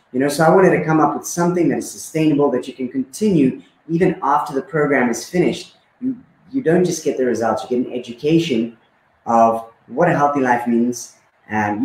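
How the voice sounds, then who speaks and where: A man's voice: mid-range voice, darkish tone, roomy sound, low-quality recording. A man in his thirties from Zimbabwe, a guest chatting casually with many fillers and repeats. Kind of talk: casual conversation.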